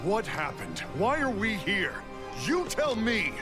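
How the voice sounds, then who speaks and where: A man's voice: high-pitched, sing-song, slow, over loud music, full character voice. An actor playing an agitated, demanding young man in a fantasy video game cutscene.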